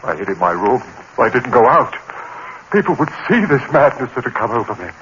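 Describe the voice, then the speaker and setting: A man tells a nightmare in the first person in an old radio horror play. His voice is bright and swings widely, leaping up in pitch when fear takes over. The broadcast sounds dull, with a light hiss. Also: sing-song, a little fast. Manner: frantic, haunted first-person telling, sudden climbs in pitch. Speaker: narrator and lead in a radio drama. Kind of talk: 1930s radio horror acting.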